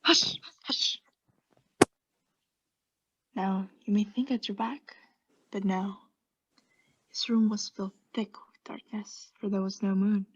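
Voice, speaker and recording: A woman playing an obsessed mad murderer in a theatrical radio play, confessing intensely in hushed whispers and frantic outbursts. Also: mid-range voice, steady tone, takes it slowly, long pauses, slightly rough, muffled recording. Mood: furtive, tense.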